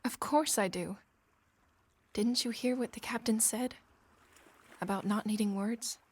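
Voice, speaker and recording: An actress playing a tender, earnest young woman in a Regency audio drama, speaking with gentle, deliberate feeling. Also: fairly high pitch, husky. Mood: gentle, teasing. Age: young adult.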